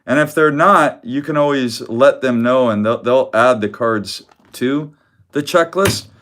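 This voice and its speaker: Male streamer at home, loud carrying voice, laughs a lot, chatty and unscripted. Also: mid-range voice, measured pace. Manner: loud voice that carries, laughs often, rambling with restarts. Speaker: card collector hosting a live stream. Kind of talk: casual live-stream chat. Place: home room with weak Wi-Fi.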